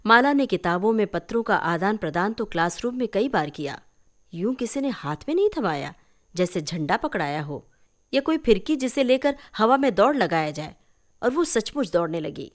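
A woman reads a short story aloud. Her pitch sits in the middle for a woman, and she narrates the literary prose expressively, as a storyteller would. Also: sing-song, normal speed. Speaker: story reader.

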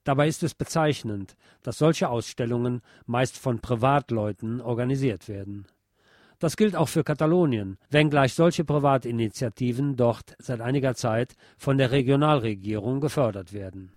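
A man's voice: medium pitch, moderate pace, a little mellow, dry recording. Man reading formally in a dry recording. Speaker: narrator reading a history text. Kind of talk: scripted narration, reading aloud.

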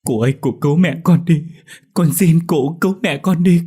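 A man's voice: on the high side, moderate pace, dark tone, slightly rough, pitched above the performer's own voice. Man acting as a wailing, desperate young boy. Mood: desperate pleading. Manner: wailing, pleading, stammering when shocked. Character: distraught young boy crying desperately for his mother.

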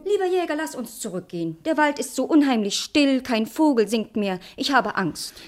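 A woman's voice: sits high, expressive, normal speed, faint music behind. A woman playing an innocent, trusting young princess in a fairy-tale radio play, naive, earnest and girlish in manner. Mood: fearful.

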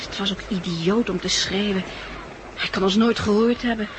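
Woman acting a gentle practical survivor lady, sixties dutch radio play, netherlands accent, medium pitch, noisy old recording. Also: fairly even intonation, moderate pace, natural voice. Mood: dejected. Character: affectionate, level-headed female survivor, partner to the man.

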